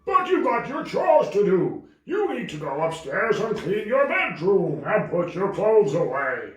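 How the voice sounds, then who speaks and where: A man's voice: high-pitched, wildly swooping pitch, measured pace, lo-fi sound, full character voice. A man voicing a bossy, blustering, greedy dragon father who scolds his son in a homemade children's puppet show. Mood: bossy, scolding. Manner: scolding, exclamatory, swooping. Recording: very echoey room, distant mic.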